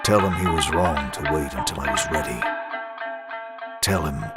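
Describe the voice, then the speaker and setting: A man playing a grim, relentless vigilante hero in a motion comic, speaking with controlled intimidation over loud dramatic music. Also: very deep voice, normal speed. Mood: grim defiance. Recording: loud dramatic score and storm behind voice.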